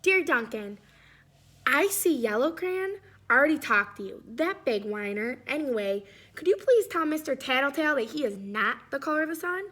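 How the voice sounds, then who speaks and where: A woman's voice: high-pitched, expressive, moderate pace, a bit bright. Woman reading as a smug, scornful rival crayon.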